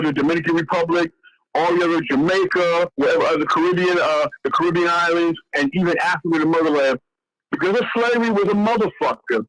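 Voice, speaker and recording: A man's voice: fairly high pitch, a bit bright, low-quality recording. Rambling, profane man in his sixties. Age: 60s. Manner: rambling, profane, stumbles over names. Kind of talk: casual chat.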